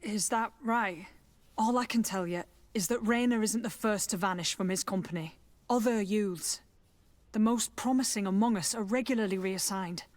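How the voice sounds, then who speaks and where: A woman's voice: medium pitch, moderate pace, no echo, close to an everyday voice. A woman playing a proud, guarded lieutenant who trains recruits in a video game. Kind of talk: video game dialogue acting.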